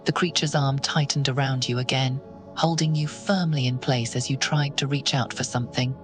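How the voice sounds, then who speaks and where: A narrator telling a horror story in second person, slow and ominous. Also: mid-range voice, fairly even intonation, soft background music.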